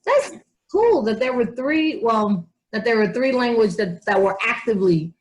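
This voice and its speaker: A woman with a fairly high voice, acting as a casual interviewer. She speaks haltingly, rewording what she asks as she goes. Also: lively intonation, poor audio.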